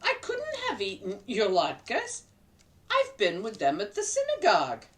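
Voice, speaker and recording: A woman reading a storybook aloud, voicing a gentle, earnest male rabbi. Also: medium pitch, huge pitch swings, a little slow, voice pushed up.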